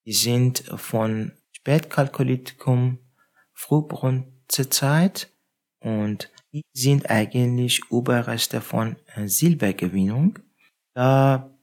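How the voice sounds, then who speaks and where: A man from Iran, answering interview questions about his research in a hesitant way with frequent pauses and fillers. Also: medium pitch. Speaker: guest researcher.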